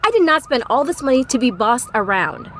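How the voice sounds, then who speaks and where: Woman acting brash female executive, exasperated, profane, sassy, fairly high voice, very animated, comic audio drama. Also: brisk, heavy background noise. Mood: panicked, furious. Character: brash, foul-mouthed, bossy woman CEO, easily exasperated.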